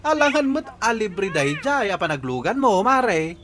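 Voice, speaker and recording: A man's voice: high-pitched, big pitch swings, normal speed, noisy background. A man acting a weary, put-upon tricycle driver and family father in a radio comedy-drama, protesting with an Ilocano accent.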